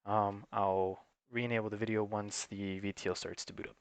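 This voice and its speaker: A man's voice: lowish voice, little pitch movement, moderate pace, smooth, dry recording. A man giving an unscripted, matter-of-fact, step-by-step tutorial in an instructional way. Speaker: technical support presenter.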